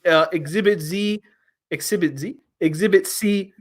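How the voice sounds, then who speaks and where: Young guy ranting about an album, a bit high voice, emphatic, lots of rhetorical questions. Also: moderate pace. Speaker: music review host. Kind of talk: casual album review chat.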